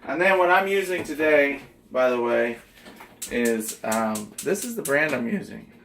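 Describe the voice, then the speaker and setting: A man's voice: mid-range voice, lively intonation, unhurried, fairly bright tone, a little hiss or hum. A man hosting a casual cooking video in a home kitchen, joking and chatty. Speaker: cooking vlog host.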